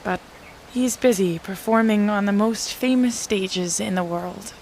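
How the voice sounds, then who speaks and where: A passionate teenage girl voiced by a woman in a period audio drama. She sounds wistful and disappointed, dramatic in delivery, at a medium pitch, with faint ambient noise behind her. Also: unhurried, a little mellow, close to an everyday voice. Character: disappointed, passionate seventeen-year-old girl musician of 1907. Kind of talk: scripted audio drama dialogue.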